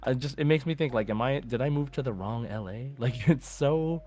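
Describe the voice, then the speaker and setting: A man's voice: mid-range voice, moderate pace, darkish tone. Casual male podcast host over music. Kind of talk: casual podcast chat about a video game.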